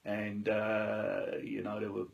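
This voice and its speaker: Gravelly, jokey man. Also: lowish voice, monotone, takes it slowly. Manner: jokey, loud, chirpy storyteller. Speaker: interviewee, cancer patient. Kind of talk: casual storytelling interview.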